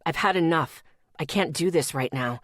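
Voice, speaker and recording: A woman voicing a hurt, withdrawn young man with a deep voice who speaks in curt, clipped lines in an audiobook. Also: normal speed, no room sound, clean studio sound, lightly acted. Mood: cold, curt.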